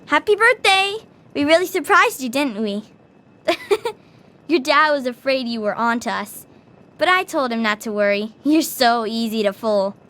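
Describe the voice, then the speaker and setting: A woman's voice: sits high, expressive, moderate pace, fairly bright tone. A woman playing a friendly, teasing young girl in a video game, with a playful, cheerful manner. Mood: excited, teasing. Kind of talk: video game character acting.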